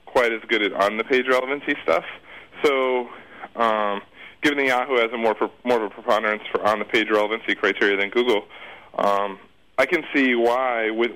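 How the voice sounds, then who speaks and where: A man's voice: on the low side, sharp tone, poor audio. A man speaking as an expert interview guest, explaining things informally in a conversational, circling way. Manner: informal, circling explanations with repeated "you know".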